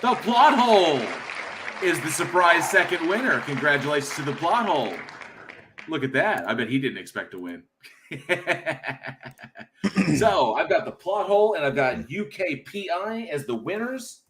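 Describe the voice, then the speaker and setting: Relaxed man hosting a livestream. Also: a little high, normal speed, some chatter behind, slight background noise. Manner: relaxed, rambling, many fillers, reads chat aloud. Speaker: livestream host. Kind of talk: casual livestream chat.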